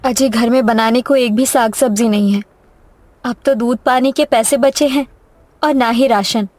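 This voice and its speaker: A woman's voice: on the high side, steady tone, normal speed, darkish tone, no room sound, close to an everyday voice. A woman voicing a worried, careworn mother of a poor household in a story cartoon, plaintive and earnest. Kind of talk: Hindi moral-story cartoon dubbing.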